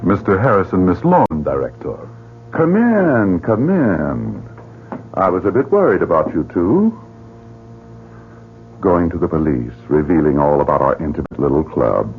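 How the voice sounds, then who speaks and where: A man's voice: deep voice, wildly swooping pitch, a little slow, slight background noise, exaggerated voice. An actor playing a suave, sinister male club director who speaks in a silky, mocking way, in a radio drama. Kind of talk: 1970s radio drama acting. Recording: tinny old radio broadcast with music.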